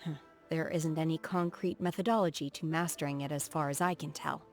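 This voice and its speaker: Young female game character, lowish pitch, reserved, earnest, formal measured phrasing, socially awkward, background music. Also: fairly even intonation. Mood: reflective. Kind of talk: video game character voice acting.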